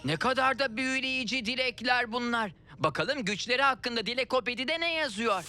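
A woman voicing a calm, knowledgeable guide to magic wishes in a dubbed children's cartoon, measured and gentle. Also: fairly high pitch. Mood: explaining, gentle. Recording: music behind the voice.

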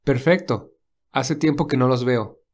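A man voicing a caring young male lead in a read-aloud romance, speaking softly with light teasing. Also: mid-range voice, a little slow, close to an everyday voice. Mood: gentle.

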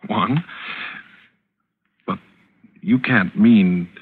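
Husky, uneasy young hunter guest. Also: male voice, lowish voice, extremely slow, phone-quality sound, full character voice. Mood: uneasy, disbelieving. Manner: courteous, hesitant, questioning. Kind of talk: 1940s radio acting. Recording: vintage radio drama broadcast recording.

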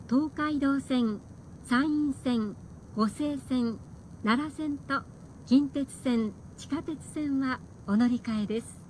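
A woman delivers a scripted train announcement at an unhurried pace. Her voice is very high, heard through a carriage speaker with faint noise. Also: low-quality recording.

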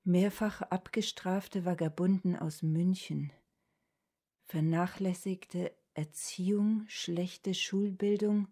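Slow, subdued, troubled cook. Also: female voice, lowish voice, fairly even intonation, mellow. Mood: subdued reading aloud. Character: traumatised, subdued female household cook in 1920s Vienna. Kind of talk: German radio drama acting.

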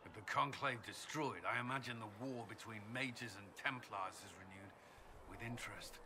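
Low, sardonic military commander played by a man. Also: close to an everyday voice. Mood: sober. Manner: sardonic, measured. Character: dry, weary, sardonic male military commander. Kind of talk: video game dialogue acting. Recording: clear outdoor ambient noise behind.

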